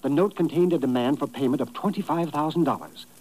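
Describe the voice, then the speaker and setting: A man's voice: a little high, fast, a little mellow, close to an everyday voice, low-quality recording. An actor playing a worried, polite immigrant businessman in a 1950s radio drama, speaking formally with hesitant stammers. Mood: anxious, hesitant. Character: anxious, polite immigrant businessman, victim of extortion.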